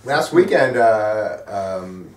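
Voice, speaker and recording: A man interviews a band in casual banter, chatty and quick to agree and laugh. His voice swoops around a lot. He sits back from the mic in an echoey room with a faint hiss behind him. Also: on the low side, expressive, far from the mic.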